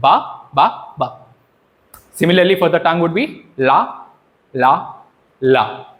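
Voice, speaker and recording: A man, a trainer giving an interactive public speaking workshop in an animated, instructive way, often asking questions and checking in. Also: fairly high pitch, slow pace.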